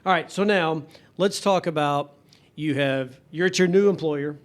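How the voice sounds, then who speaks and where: A man's voice: on the high side, moderate pace. Chatty man hosting a money show. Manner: conversational, guides the talk with questions. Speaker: radio show host, financial advisor. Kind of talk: casual radio chat.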